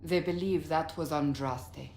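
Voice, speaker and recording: An actress playing a stern, dutiful warrior woman in a video game cutscene, speaking in a firm, formal, measured way. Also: low-pitched, steady tone, a little mellow. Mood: grave, resolute. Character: stern, dutiful warrior woman leading a fantasy war council. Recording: roomy echo, noisy ambience.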